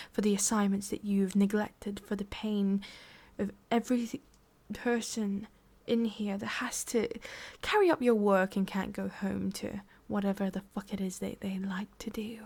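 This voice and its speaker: Woman playing a cruel female boss, English accent, breathy half-whisper, mid-pitched, taunting, condescending, mock-tender, ASMR roleplay. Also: flat delivery, a little mellow. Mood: sadistic, threatening. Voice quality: breathy, often dropping into whispers. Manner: slow, taunting, condescending, with pauses and sighs. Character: sadistic, menacing female boss of demons, mockingly tender.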